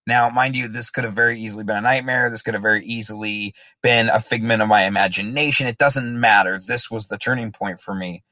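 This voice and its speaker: A man's voice: fairly low pitch, steady tone, a little fast, hardly any pauses, bright, forceful tone, no room sound. A man as an interview guest, telling his own story in a candid, reflective, plain-spoken way. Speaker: guest in recovery.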